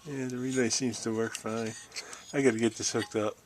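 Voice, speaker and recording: A man's voice: medium pitch, flat delivery, normal speed, slight background noise. A middle-aged or older American man giving a casual, folksy hands-on show-and-tell, musing as he goes. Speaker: DIY video host.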